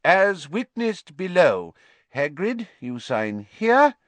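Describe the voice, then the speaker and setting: Storybook committee official, man acting: reedy, raised pitch, formal, prim. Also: very animated, slow, no echo, exaggerated voice. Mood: officious.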